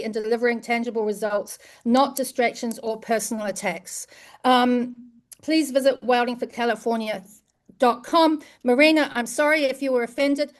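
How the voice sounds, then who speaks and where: A woman makes her case as a candidate at a political forum. Her voice is on the higher side. She talks in the manner of a campaign speech. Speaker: congressional candidate.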